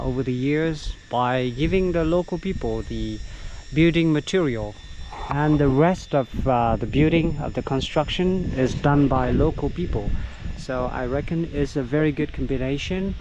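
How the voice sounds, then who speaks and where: A middle-aged man whose first language is Vietnamese, working as a local guide and narrating a casual vlog outdoors in an unhurried, halting way with many fillers. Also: medium pitch, lo-fi sound, background noise. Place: outdoors on countryside trails.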